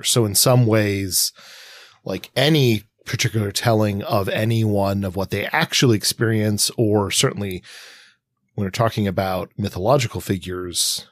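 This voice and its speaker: A man's voice: on the low side, no echo. A man co-hosting a casual analytical discussion podcast, reflective and thinking aloud with many qualifiers. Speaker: podcast co-host.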